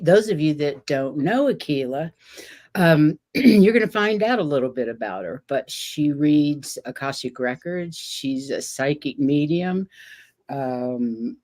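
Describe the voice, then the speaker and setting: A woman hosting a relaxed online chat show. Her voice sits low and she takes her time, easing along with fillers like "um" and gentle laughter between phrases. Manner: slow, chatty, with frequent fillers and soft laughs. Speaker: host. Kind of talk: casual livestream chat.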